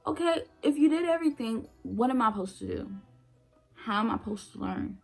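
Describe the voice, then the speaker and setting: A woman's voice: a little high, expressive, a little fast, vocal fry, faint music behind, poor audio. A young woman, a former nursing assistant, telling her story in a casual vlog, blunt and emphatic, repeating her points for effect.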